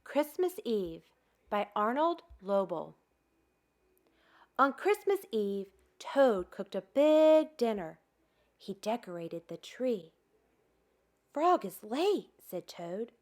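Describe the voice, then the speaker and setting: A woman hosting a children's storytime, narrating with expressive, deliberate delivery for very young listeners. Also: medium pitch. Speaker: museum storytime host. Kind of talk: children's read-aloud narration.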